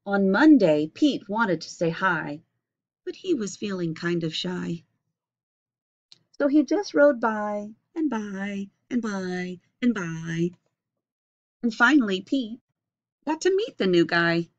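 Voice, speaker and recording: A middle-aged woman reading a children's picture book aloud with expressive, deliberate phrasing. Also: medium pitch. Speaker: storybook reader. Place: small indoor home room. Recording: dull lo-fi webcam recording.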